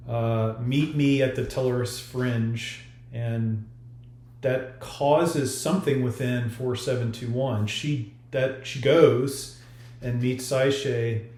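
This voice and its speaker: A man speaking as an author in a podcast discussion, explaining haltingly with pauses to choose his words. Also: medium pitch, a little mellow. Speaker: author discussing own story. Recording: roomy, echoey sound.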